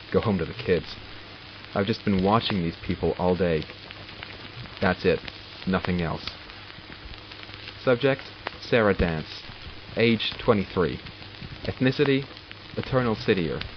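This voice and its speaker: A man playing a curious, wry doctor in an audio drama, dictating log entries in a measured, formal, dryly sardonic way. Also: on the low side, slight background noise. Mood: clinical, disdainful. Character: male doctor logging studies of supernatural captives, curious and wry. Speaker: voice actor. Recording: dull lo-fi recording with music behind. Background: music.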